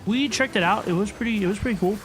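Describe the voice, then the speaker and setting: A woman's voice: mid-range voice, fast, low-quality recording. A woman hosting a gaming livestream, chatting casually and often repeating phrases for emphasis. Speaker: livestream host. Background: game music.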